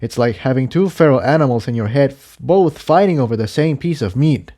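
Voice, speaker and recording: A man plays a troubled male pony from a storybook adventure. He is earnest and careful, explaining things hesitantly in a slightly raised, soft-edged voice. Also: mid-range voice, animated, fairly quick, pitched above the performer's own voice, natural voice.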